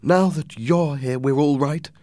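Man voicing an anxious mother, raised pitch. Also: a little slow, dark tone, no echo, close to an everyday voice. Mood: worried, relieved. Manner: emphatic, worried. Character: anxious, earnest mother of a boy.

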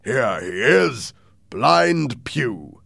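A man voicing a calm, authoritative policeman with a reassuring, businesslike manner in a storybook reading. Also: medium pitch, drawn-out words, gravelly, dry recording, voice pushed up, heavily acted.

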